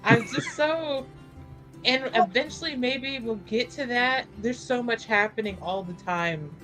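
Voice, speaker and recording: A woman's voice: a little high, measured pace, a bit bright, faint music behind, rough recording. A woman casually talking through events as a player in a tabletop roleplaying game.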